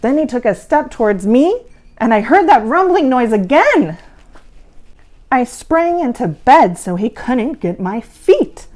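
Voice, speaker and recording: Animated woman reading spooky children's tales. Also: on the high side, a little slow. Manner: dramatic, playful, hushed for suspense. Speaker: costumed horror-hostess storyteller for children. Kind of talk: theatrical spooky storybook reading.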